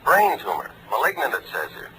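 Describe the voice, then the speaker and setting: An actor playing a chummy, matter-of-fact morgue clerk in a 1940s radio drama, clipped and folksy, on a slightly noisy old recording. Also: male voice, medium pitch, huge pitch swings, fairly quick, pushed and bright. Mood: breezy.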